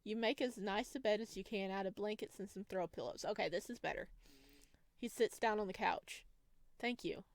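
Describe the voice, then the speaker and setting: Woman, gaming video host, mid-pitched, reading story text aloud, giggly gushing reactions, very dry recording. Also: fairly quick, no echo. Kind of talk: visual novel let's play, reading text aloud with excited reactions.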